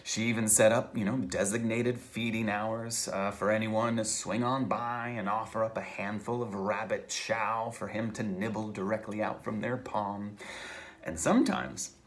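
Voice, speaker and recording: A man, an author, reading his novel aloud in a conversational, halting first-person style with theatrical emphasis. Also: on the low side, fairly even intonation.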